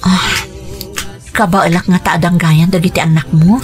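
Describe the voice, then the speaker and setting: A woman playing a devoted, gentle young woman hoping to become a stepmother, in a radio drama. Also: fairly low pitch, fairly even intonation, unhurried, faint music behind, natural voice. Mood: hesitant, devoted. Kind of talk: Ilocano radio drama acting.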